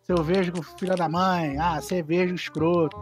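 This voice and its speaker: A man's voice: sits high, fairly even intonation, moderate pace, over loud music. A man in a casual podcast chat among friends, slangy and swearing, rambling with many restarts.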